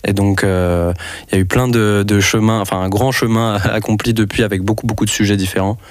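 A man's voice: lowish voice, steady tone, fairly quick, dry recording. A young man from France, a festival organizer speaking as a radio interview guest, hesitant with many restarts. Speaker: festival organizer and network coordinator.

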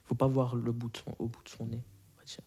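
Young man, hesitant, dark mellow voice. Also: medium pitch, completely flat delivery, fast talker, breathy edge. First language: Arabic. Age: 20s. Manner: hesitant, many fillers and restarts. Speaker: interviewee. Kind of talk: casual interview answers.

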